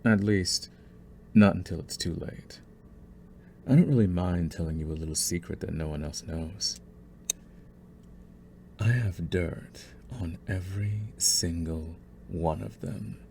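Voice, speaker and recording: A man playing a possessive, scheming young mafia boss in an ASMR roleplay, smug and deliberate, with mock courtesy. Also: sits low, gravelly, warm tone, slight background noise, heavily acted. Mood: smug menace. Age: young adult.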